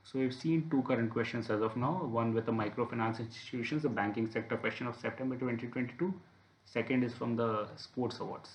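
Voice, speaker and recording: A man's voice: medium pitch, a little fast. A man working methodically through an exam paper as an instructor, explaining and repeating his points. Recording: echoey room.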